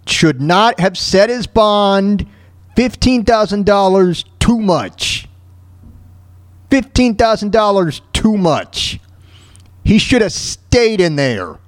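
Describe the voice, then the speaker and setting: A man hosting a podcast, ranting in a halting, repetitive, exasperated way with casual, opinionated talk. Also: high-pitched, expressive, no echo, studio quality. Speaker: podcast host.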